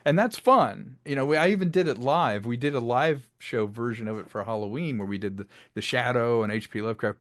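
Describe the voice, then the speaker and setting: A man's voice: medium pitch, dry recording, clean studio sound. A man speaking as an interview guest, telling stories about his creative work in a casual, chatty way with rambling asides. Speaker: interview guest, filmmaker and audio drama creator.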